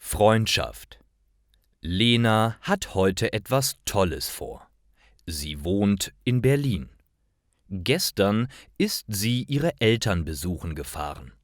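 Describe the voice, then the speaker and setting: A man reading scripted stories for language learners, narrating carefully in clearly separated phrases. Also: lowish voice, very animated, takes it slowly, no room sound, studio quality. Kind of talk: scripted narration, slow learner-friendly storytelling.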